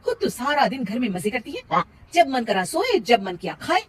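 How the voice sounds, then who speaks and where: A woman's voice: on the high side, big pitch swings, normal speed, loud music behind the voice. A woman voicing an overworked young working daughter-in-law in a family cartoon, in a dramatic, exasperated manner. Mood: complaining.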